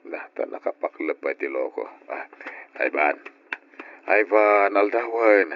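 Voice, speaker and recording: A dull, lo-fi old radio recording of a sorrowful middle-aged father who drinks. His pitch swings widely, and he drags out his words with heavy, tender feeling. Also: animated. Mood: gentle. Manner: drawn-out, emotional delivery. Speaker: radio drama actor. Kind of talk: old Ilocano radio drama acting.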